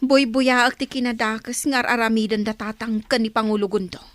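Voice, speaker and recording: An actress playing an anxious, gentle village woman in an Ilocano radio drama, pleading in a worried, often hushed way with an Ilocano accent. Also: fairly high pitch, level tone, normal speed, dry recording, lightly acted. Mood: distressed.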